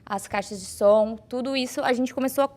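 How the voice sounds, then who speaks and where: A woman's voice: fairly high pitch, fairly quick, darkish tone. A woman, an actress talking in an interview about a theatre production. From Brazil. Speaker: stage actress.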